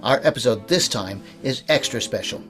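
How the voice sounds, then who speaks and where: A man's voice: mid-range voice, lively intonation, moderate pace, fairly bright tone. Man hosting over background music. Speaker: host and interviewer. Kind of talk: podcast-style introduction and interview.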